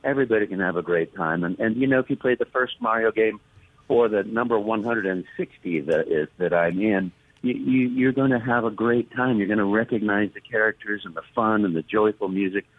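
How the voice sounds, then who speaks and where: A man, a voice actor, telling stories as an interview guest with warm enthusiasm and excited repetitions. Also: lowish voice, a little fast, no echo. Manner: warm, enthusiastic, repeats words when excited. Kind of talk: storytelling interview talk. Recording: dry, thin phone-like line.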